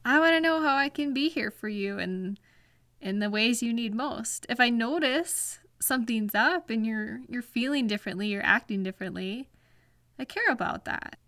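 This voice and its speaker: A woman playing a caring, patient girlfriend in a soft-spoken ASMR roleplay, speaking gently and reassuringly to comfort a partner. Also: a little high, expressive, moderate pace, no room sound, clean studio sound. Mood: gentle, caring.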